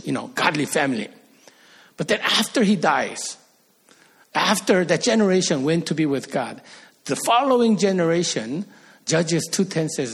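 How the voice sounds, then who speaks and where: A man's voice: fairly high pitch, vocal fry, breathy edge. A middle-aged man from Korea, preaching an earnest sermon as a pastor and rising to emphatic peaks.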